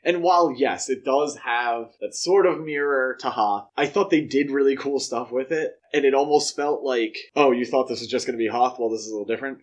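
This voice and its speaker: A man's voice: mid-range voice, a little fast, hardly any pauses. A man co-hosting a podcast, casually discussing films in a conversational, explanatory way. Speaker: podcast co-host.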